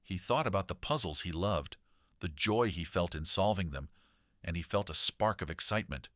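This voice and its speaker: A man reading a children's story aloud in an expressive, dramatic storytelling manner. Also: low voice, normal speed, dry recording, clean studio sound. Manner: dramatic, animated delivery.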